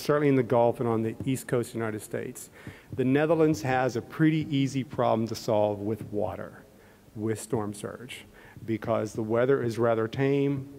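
A middle-aged man with a Pittsburgh accent speaks to an audience from a podium, his pitch sitting mid-range for a man. He talks conversationally, with "um"s and restarts. The sound carries the long reverb of a large hall. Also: a little mellow. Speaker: embassy economist and panel moderator. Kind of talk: public talk at a podium. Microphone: podium microphone.

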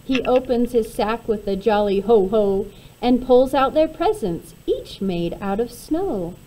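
Mellow, higher-voiced woman reading a storybook. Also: animated, a little slow, a little hiss or hum, low-quality recording. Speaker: storybook reader. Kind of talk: read-aloud of a rhyming children's picture book.

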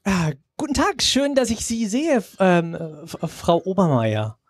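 A man's voice: high voice, wildly swooping pitch, unhurried, dry recording, full character voice. Man as nervous young writer, hesitant, effusive, stumbling, dry close sound, live radio comedy. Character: nervous, eager young male author pitching his work. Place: outdoor festival stage under a tent.

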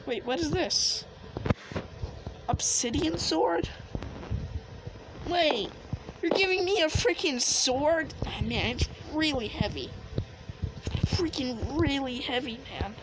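A woman's voice: high voice, lively intonation, takes it slowly, pauses often, voice pushed up. Woman playing a hesitant young son, noisy recording. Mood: incredulous. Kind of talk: improvised video game roleplay.

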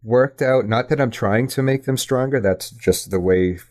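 A man's voice: mid-range voice, moderate pace, a little mellow. A man speaking as an interview guest, thoughtful and self-critical, choosing his words hesitantly in casual talk. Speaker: podcast guest, audio drama writer.

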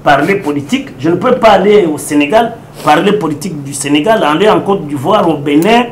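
A man's voice: fairly high pitch, a little fast, slight background noise. A middle-aged man with a Congolese French accent, a lawyer giving an emphatic political interview in an office, repeating his points and mixing French with Lingala. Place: indoor office with bookshelves.

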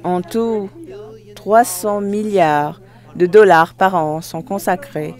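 Live interpreter doing a formal speech, mid pitched voice, goes phrase by phrase n corrects themself. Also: darkish tone. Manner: halting, phrase by phrase with self-corrections.

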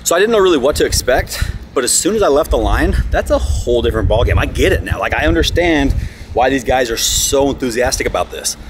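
A man's voice: medium pitch, lively intonation, fast talker. Amazed American guy with noise behind him. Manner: amazed, informal bro-talk. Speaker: vlogger and monster truck driver. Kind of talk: excited casual chat. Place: in a race car and outdoors at a track. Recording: engine and outdoor noise behind.

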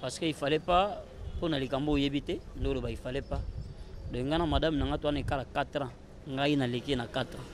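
A man's voice: medium pitch, a little fast. A man in his thirties with a Congolese accent, talking casually as an interview guest. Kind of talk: casual interview talk, switching languages. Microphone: handheld interview microphone. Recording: noisy outdoor handheld-mic recording.

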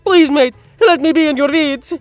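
A man's voice: very high-pitched, normal speed, dry recording, faint music behind. A man voicing a pushy, begging thirteen-year-old Russian boy in a frantic comedic reading. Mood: eager begging. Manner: frantic, pleading. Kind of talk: comedic dramatic reading of internet comments.

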